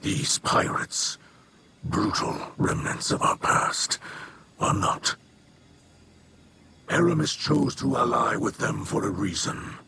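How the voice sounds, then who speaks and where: A man playing a solemn, troubled alien father and leader in a video game, speaking in a grave, formal, measured way. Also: mid-range voice, lively intonation, background noise. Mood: grave, admonishing. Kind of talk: video game character acting. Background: music.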